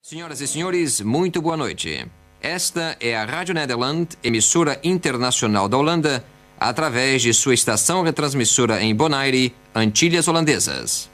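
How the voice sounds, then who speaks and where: A man announcing a radio station identification in a measured, formal manner. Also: medium pitch, animated, a bit bright, faint music behind.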